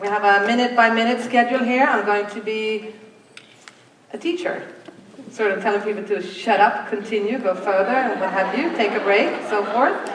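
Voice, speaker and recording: A woman's voice: mid-range voice, fairly even intonation, moderate pace. A woman hosting a school event on stage, chatty and joking about herself. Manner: chatty and self-joking, with fillers. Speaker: event host. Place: large hall, on stage. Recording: echoey hall from far off, noisy.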